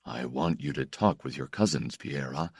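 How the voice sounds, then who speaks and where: A man voicing a polite, reserved grown man speaking warmly but with restraint, in an audiobook. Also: expressive, vocal fry, slightly rough, no echo, studio quality, exaggerated voice. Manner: polite, restrained, low and measured. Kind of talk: audiobook character voice.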